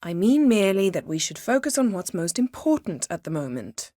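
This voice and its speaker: A woman voicing a haughty, sharp-tongued sorceress in a video game, guarded yet devoted, speaking in an imperious, formal and wry manner. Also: medium pitch, very animated, a little fast, dry recording, clean studio sound. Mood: composed, earnest. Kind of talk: acted video game dialogue.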